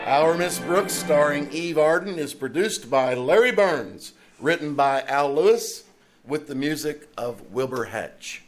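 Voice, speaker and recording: A man's voice: fairly high pitch, animated, unhurried, soft background music, low-quality recording. A man playing a polished 1950s radio announcer reading scripted sponsor copy in a live stage show. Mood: formal sign-off. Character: smooth 1950 radio announcer pitching shampoo. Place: small-town theater stage, performed live.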